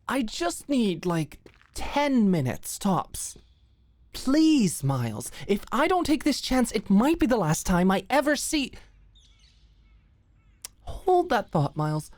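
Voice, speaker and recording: A woman's voice: fairly low pitch, darkish tone, no room sound, voice pushed up. A woman playing a flustered, lovestruck office ex-employee, hesitant and rambling, in a scripted ASMR audio roleplay. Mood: pleading.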